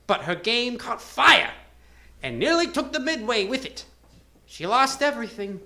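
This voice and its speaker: An actress playing an aggrieved, determined carnival performer, imploring and emphatic, in a live old-time radio drama. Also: female voice, on the high side, big pitch swings, a little slow, sharp tone, exaggerated voice. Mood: earnest pleading. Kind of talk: live 1940s-style radio drama acting.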